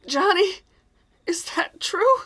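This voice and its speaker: A woman's voice: very high voice, animated, slow pace, a bit bright, heavily acted. A woman playing a stern but caring mother in an audio drama, protective and firm, fussing over her child. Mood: alarmed, pleading.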